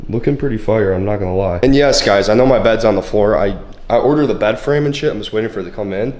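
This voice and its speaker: A young man in his twenties with a congested, slightly hoarse voice, chatting casually and profanely with lots of filler words in a fitness vlog. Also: on the low side, fast, a little mellow. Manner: laid-back, profane, full of "like" fillers. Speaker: fitness vlogger. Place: bedroom at home, then a gym.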